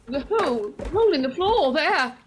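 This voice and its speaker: Woman acting a high, nervous, stammering older lady. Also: big pitch swings, slight background noise. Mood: nervous, hesitant. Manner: halting, stammering. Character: nervous, frightened older woman, superstitious housekeeper type. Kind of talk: 1940s radio horror acting.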